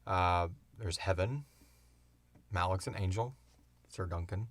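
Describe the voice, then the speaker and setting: A man co-hosting a podcast, answering questions in casual, informal, halting talk. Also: low voice. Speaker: podcast co-host and show creator. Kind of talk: casual Q&A chat.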